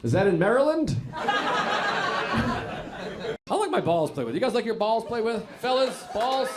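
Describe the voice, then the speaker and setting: A man's voice: very high voice, big pitch swings, a little slow. A man doing stand-up crowd work, teasing the audience and laughing through his lines on a club stage. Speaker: stand-up comedian. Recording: echoey club with loud crowd noise.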